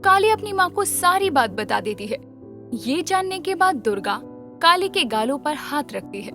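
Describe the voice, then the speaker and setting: A woman giving scripted storybook narration as the narrator of an animated tale. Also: high voice, normal speed, faint music behind.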